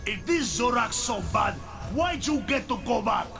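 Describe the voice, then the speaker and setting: An actor playing a boastful, bloodthirsty undead barbarian warrior in a video game, blustering and indignant. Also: male voice, very high voice, animated, moderate pace, vocal fry, exaggerated voice. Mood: indignant boasting. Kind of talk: video game cutscene acting. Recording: loud game music behind the voice.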